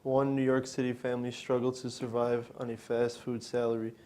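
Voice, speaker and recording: A man's voice: mid-range voice, level tone, brisk, dark tone. A young man, a student presenter, reading a scripted readers theater narration. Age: young adult. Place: indoor college library event.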